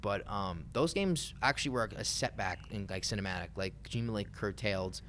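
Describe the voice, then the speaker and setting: The quick, low-voiced young American guy rattling along on a hobbyist podcast, some noise in the background. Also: a bit bright. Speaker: podcast co-host. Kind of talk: casual chat about video games and pop culture.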